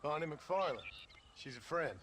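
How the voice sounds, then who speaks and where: Man playing wry gunslinger turned rancher, rural American accent, raised pitch, plain-spoken devoted husband, game cutscene, background noise. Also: lively intonation, normal speed, close to an everyday voice. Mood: plain, defensive. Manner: dry, plain-spoken, folksy. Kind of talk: video game cutscene acting.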